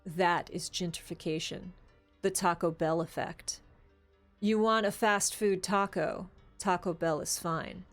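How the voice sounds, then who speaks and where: A woman's voice: fairly low pitch, fairly even intonation, unhurried, faint music behind. A woman hosting a casual discussion show, talking conversationally with lots of "like" asides. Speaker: show host. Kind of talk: casual panel discussion of tabletop gaming.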